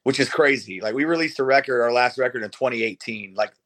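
Casting: male rock musician, around forty, mid-pitched, loose casual interview chat. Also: quick pace, fairly bright tone. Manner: informal, swearing. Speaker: rock band singer, interview guest.

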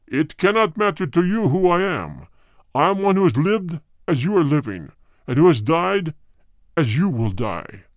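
A man voicing a cold, deep, solemn spirit. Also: big pitch swings, no room sound, clean studio sound. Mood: grave. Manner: grave, measured, formal. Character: solemn spirit of the dead speaking through a medium.

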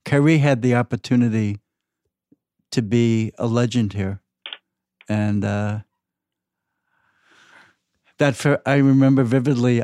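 A man's voice: on the low side, mellow, clear, resonant tone, no room sound, studio quality. An older man chatting casually about sports as a longtime fan and podcast guest.

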